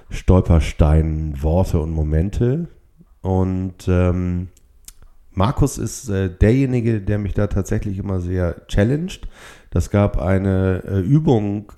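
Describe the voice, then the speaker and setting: A middle-aged or older man hosting a solo podcast, speaking reflectively and haltingly, with short blocks and pauses from a stutter. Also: sits low, warm tone. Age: 50s or older. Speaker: podcast host.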